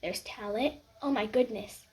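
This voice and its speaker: A woman voicing an ancient magical talking hat that murmurs softly and slyly into a listener's ear. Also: a little high, measured pace, close to an everyday voice. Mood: hushed musing. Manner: hushed, murmuring close in the ear.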